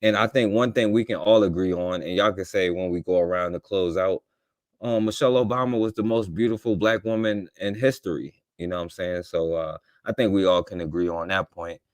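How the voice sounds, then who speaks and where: A man's voice: deep voice, a little fast, no echo. A man hosting a casual talk radio panel in a laid-back, conversational way. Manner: laid-back, conversational, addresses guests as brother. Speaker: radio show host.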